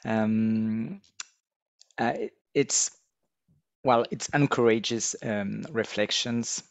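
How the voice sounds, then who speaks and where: A man's voice: on the low side, drawn-out words, no echo. A man in his thirties speaking hesitant, non-native English on a headset as a panel guest. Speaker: podcast guest. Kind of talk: panel discussion.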